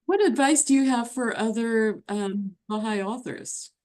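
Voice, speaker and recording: A woman's voice: on the high side, a little slow, a little mellow. A woman interviewing a guest for a book club, asking polite, hesitant questions.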